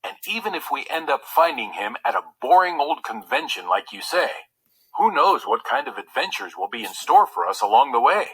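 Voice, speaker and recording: A man voicing an adventurous young woman's storybook letter, gentle, frank and apologetic in manner. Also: fairly high pitch, very animated, fairly quick, gravelly, slight background noise, full character voice, rough recording. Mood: resolute, kind. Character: adventurous young woman, kind but resolute, reading her letter.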